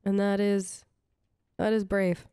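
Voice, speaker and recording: A woman's voice: mid-range voice, level tone, slow pace, warm tone, clear, resonant tone, dry recording, clean studio sound. A woman doing casual in-character commentary as she role-plays through a video game, chatty and reassuring, thinking aloud. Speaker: gaming host, role-play let's player. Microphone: close microphone, dry voice-over.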